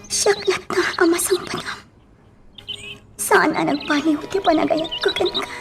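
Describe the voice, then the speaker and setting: High-voiced, lovelorn sweetheart. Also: female voice, vocal fry, heavily acted. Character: anxious, lovelorn woman pleading with her sweetheart. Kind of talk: sentimental radio drama acting.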